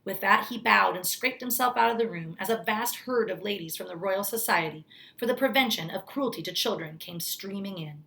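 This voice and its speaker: A woman reads a children's storybook aloud in a medium-pitched voice. She sounds like a teacher, giving the narration expression and explaining things for young listeners as she goes. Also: fairly quick, gravelly, hardly any pauses, sharp tone, a little hiss or hum. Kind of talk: children's story read-aloud with brief asides.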